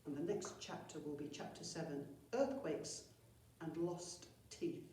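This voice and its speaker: A woman with a fairly low voice reads a children's story with expression. She sounds like a school storyteller, recorded some way from the microphone in a very echoey room. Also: measured pace, dark tone, slight background noise. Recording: very echoey room, far from mic.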